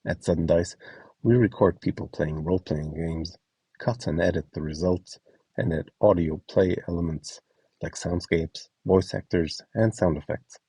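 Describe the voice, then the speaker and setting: A man giving a scripted explainer narration as a host, instructing clearly step by step. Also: low voice, normal speed, warm tone, no room sound, far from the mic, low-quality recording. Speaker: host and instructor of a voice donation project.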